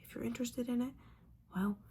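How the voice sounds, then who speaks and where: A woman playing an older witch mentor in a whispered ASMR roleplay, scolding and motherly, with wry, halting delivery and a breathy voice. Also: fairly high pitch, steady tone, dark tone, slight background noise, lightly acted. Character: disappointed older witch mentor, bossy, judgmental yet caring.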